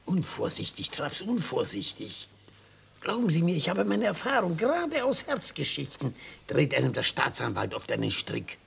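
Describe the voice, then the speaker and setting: An actor playing an anxious yet amused retired defence lawyer in a German radio play, an older man with a raspy, gravelly voice and an exclamatory, cautioning manner. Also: on the high side, huge pitch swings, normal speed, fairly bright tone, full character voice. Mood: warning.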